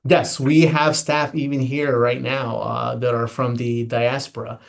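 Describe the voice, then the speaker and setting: A man's voice: mid-range voice, level tone, measured pace, creaky. Man, American, elections official, earnest, emphatic, repeats himself, interview. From United States. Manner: earnest, emphatic, with frequent repetitions and restarts. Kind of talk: interview explanation.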